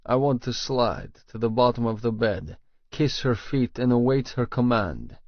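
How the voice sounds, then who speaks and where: A man with a gravelly voice narrating a first-person romance audiobook. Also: mid-range voice, a little slow, no room sound, dull audio.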